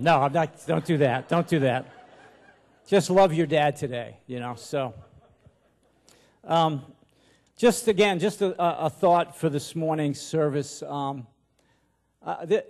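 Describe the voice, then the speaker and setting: Man in his sixties, pastor, somewhat high pitch, informal sermon, folksy, self-deprecating, halting delivery, handheld mic in a church. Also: a little mellow. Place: large church auditorium, on stage at a pulpit. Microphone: handheld microphone.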